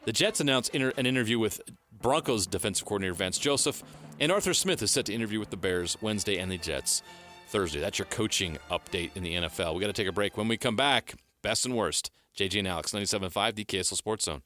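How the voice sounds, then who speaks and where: A man hosting a sports radio show, briskly reading headlines and teasing his co-host. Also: fairly low pitch, a bit bright, soft background music.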